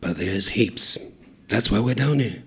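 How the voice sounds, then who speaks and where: A man voicing a cheeky young male road worker who spins deadpan tall tales in a low, conspiratorial way. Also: measured pace, breathy edge, exaggerated voice.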